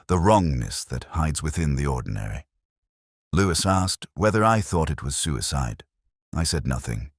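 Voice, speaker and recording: A man playing a brooding, world-weary, literary police detective, narrating his story in a measured, solemn, wry manner. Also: extremely low pitch, dry recording, clean studio sound, exaggerated voice. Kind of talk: scripted first-person detective story narration.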